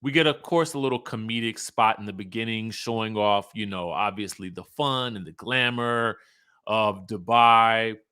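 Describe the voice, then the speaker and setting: Guy with a bright crisp-edged voice chatting through a tv trailer, emphatic and opinionated, like a youtube host. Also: on the low side, moderate pace. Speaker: host of a reality TV commentary show. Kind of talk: casual commentary on a trailer, partly reading cast bios.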